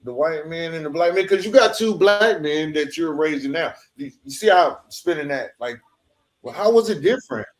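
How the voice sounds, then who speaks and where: A man's voice: a little high, lively intonation, normal speed. A man hosting a podcast and interviewing a guest in an informal, conversational way, often turning aside to speak to listeners.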